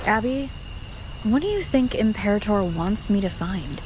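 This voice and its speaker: A woman playing a serious, thoughtful young warrior in a video game, speaking earnestly and in a measured, questioning way. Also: mid-range voice, lively intonation, noisy background, lightly acted. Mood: pensive, uneasy.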